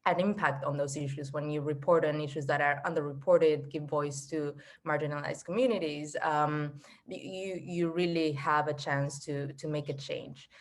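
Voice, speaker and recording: A woman in her twenties from Argentina, telling the story of her career in a steady, fluent way over a video call. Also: sits low, moderate pace. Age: 20s. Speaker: journalist guest. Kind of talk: casual career talk.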